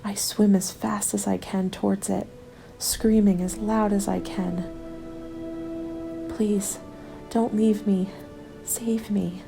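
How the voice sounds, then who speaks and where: A woman narrating a scripted first-person ASMR story in a soft, measured, often whispery delivery. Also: medium pitch, little pitch movement, gravelly. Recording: background music under the voice. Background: music.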